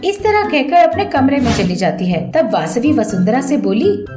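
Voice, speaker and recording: A woman's voice: sits high, sing-song, moderate pace. A woman reading a scripted story as its narrator. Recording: echoey voice over background music.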